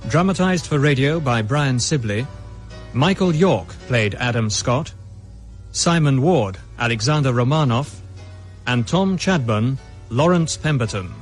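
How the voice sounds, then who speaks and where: A man with a British accent reading scripted radio drama credits as an announcer, formal and measured. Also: mid-range voice, expressive, faint music behind. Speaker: continuity announcer.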